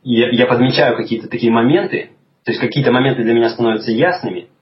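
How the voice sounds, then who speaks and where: A man talking in a relaxed conversation, thoughtful and hesitant, with many restarts as he explains things. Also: mid-range voice, fast. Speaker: guest. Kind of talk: casual conversation. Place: lives in England. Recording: slightly dull lo-fi audio with faint noise.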